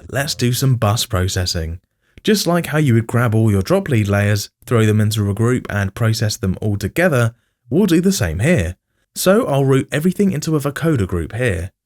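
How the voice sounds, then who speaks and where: A man's voice: mid-range voice, very animated, normal speed, darkish tone. A man giving scripted tutorial narration, explaining step by step in informal language and addressing the viewer directly. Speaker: music production tutorial host.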